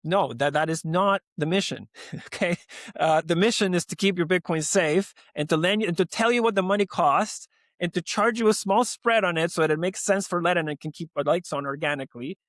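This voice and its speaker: Guest, 40s man from Venezuela, animated and emphatic, dry mic. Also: sits high, a little fast, dry recording. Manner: animated, emphatic, many restarts. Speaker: guest, lending company founder. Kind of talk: podcast interview.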